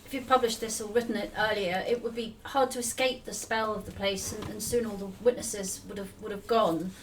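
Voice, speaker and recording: A woman's voice: medium pitch, fairly even intonation, a little fast. A woman interviewer talking conversationally in a literary interview. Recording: distant mic with clear background noise.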